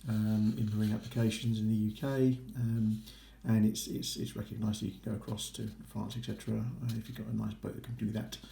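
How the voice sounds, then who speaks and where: A man's voice: on the low side, little pitch movement, a little fast, gravelly, few pauses, mellow. A man giving a casual, informal, approving walkthrough as a vlogger. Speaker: vlogger documenting a boating course. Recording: roomy echo with faint noise.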